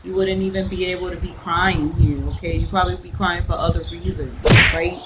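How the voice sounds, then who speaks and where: A woman's voice: lowish voice, steady tone, brisk. A blunt, sassy woman ranting in a casual reading vlog, repeating phrases for emphasis. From the Bronx, New York. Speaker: book vlogger. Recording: noisy home vlog recording.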